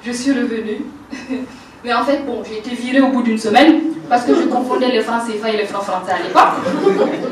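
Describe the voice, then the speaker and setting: Woman, 40s, Burkinabe French accent, animated storyteller with hesitations; slightly high voice, distant mic in a reverberant room. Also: normal speed, crowd noise. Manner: animated, laughing, many hesitations. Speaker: makeup artist telling her life story. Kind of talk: personal life story talk. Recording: roomy, distant mic, faint ambience.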